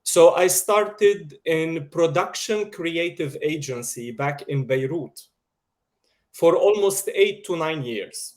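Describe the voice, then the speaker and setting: A man with a Lebanese accent talks slowly and carefully as an interview guest on a video call. His voice sits a little high and his delivery is measured. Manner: slow and measured, with soft laughs. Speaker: guest, media producer. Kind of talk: casual interview talk.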